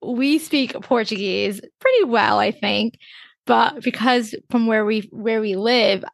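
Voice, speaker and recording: A woman co-hosting a podcast, telling a travel story in a quick, chatty way. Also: on the high side, creaky, dry recording. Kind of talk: casual podcast chat.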